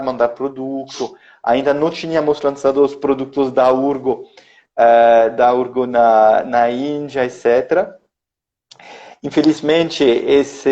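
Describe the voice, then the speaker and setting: A man in his thirties from France speaking Portuguese with a French accent, slow and halting as he searches for words. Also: mid-range voice, dark tone, lo-fi sound. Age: 30s. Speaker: interview guest, company executive.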